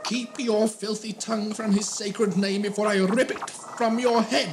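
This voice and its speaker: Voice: medium pitch, little pitch movement, a little slow, background noise, close to an everyday voice. A performer playing an imperious, fanatical alien cult leader in a science fiction audio drama, commanding and declamatory. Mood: imperious, threatening.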